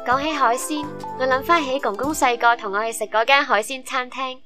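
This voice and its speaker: A woman's voice: sits high, fairly even intonation, a little fast, sharp tone, faint music behind. A woman playing a caring, chatty young student abroad in a radio drama, with a Hong Kong Cantonese accent. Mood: cheerful. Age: young adult.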